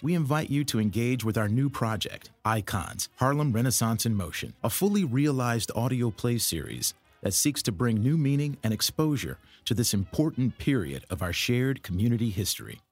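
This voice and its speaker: A man reading a scripted introduction as a theatre director, in a formal and measured manner. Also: medium pitch. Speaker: theatre artistic director introducing an audio series.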